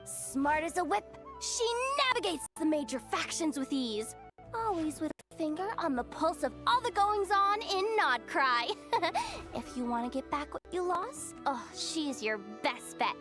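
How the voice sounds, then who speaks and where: A woman's voice: sits high, lively intonation, measured pace, music in the background. A woman voicing a cocky, scheming young bounty hunter in a video game, boastful and theatrical.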